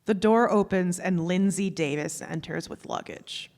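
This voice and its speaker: Woman narrating stage directions from a script, lower-ish voice, echoey theatre hall. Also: a little slow, a little mellow. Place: theatre stage before a live audience. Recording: live theatre stage, long hall reverb.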